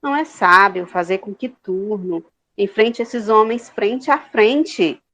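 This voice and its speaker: A woman's voice: mid-range voice, takes it slowly, fairly bright tone. Woman acting a haughty, scolding queen mother. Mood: pleading. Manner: imperious, theatrical. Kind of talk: comedic audio drama acting.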